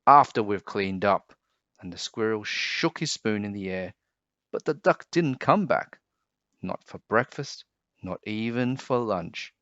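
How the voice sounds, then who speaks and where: A man reading a children's storybook aloud in an expressive, dramatic way. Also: lowish voice, measured pace, dry recording, muffled recording.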